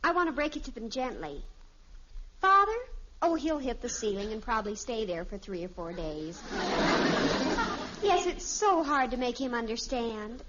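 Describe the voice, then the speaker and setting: Headstrong American sitcom teenage girl, high, theatrical, on a muffled old radio recording. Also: very animated, normal speed, long pauses, noisy background. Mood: confiding. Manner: earnest, melodramatic. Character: dramatic, lovestruck, headstrong teenage daughter. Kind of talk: 1950s radio sitcom acting.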